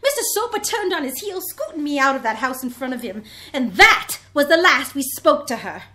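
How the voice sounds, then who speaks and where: An earnest girl of sixteen , acted by a woman, narrates her diary for an old-time radio play. Her voice is high and bright. She tells it theatrically, with swooping, animated intonation. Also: normal speed, slight background noise, full character voice. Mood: breathless drama. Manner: vivid, theatrical storytelling.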